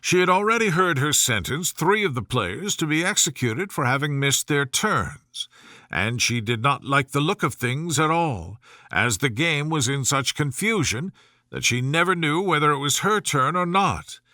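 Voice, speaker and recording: A man narrates a bedtime storybook in a soothing reading style. His voice is a little high and bright, with lively intonation. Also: normal speed, no echo, studio quality.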